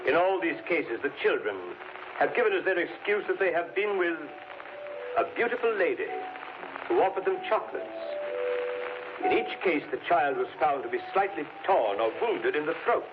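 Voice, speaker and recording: An actor playing a formal newspaper reporter reading out a grim report, declamatory in 1930s radio drama style. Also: male voice, on the high side, lively intonation, moderate pace, over music, natural voice. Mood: grave, urgent. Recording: tinny old radio recording.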